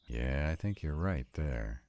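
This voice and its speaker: A man acts out a sarcastic, reluctant young vampire in an audio drama. The voice is very deep and mellow with a rough husk, and it moves at a slow pace with wry, questioning turns. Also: lively intonation, exaggerated voice. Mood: wry, hesitant. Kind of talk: scripted roleplay audio drama.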